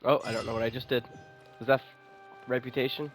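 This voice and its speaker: A man's voice: mid-range voice, steady tone, fast talker, faint music behind. A man giving informal gameplay commentary, thinking aloud and swearing casually as he goes. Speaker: game streamer.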